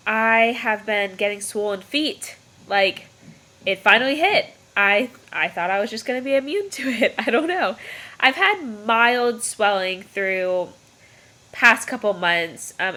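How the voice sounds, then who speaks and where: A woman's voice: medium pitch, sharp tone. A young woman vlogging casually from her bed, nasal and stuffy from a cold, chatty and rambling with frequent laughs, recorded on a laptop mic. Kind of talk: casual pregnancy update vlog. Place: bedroom, indoors. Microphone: built-in computer mic.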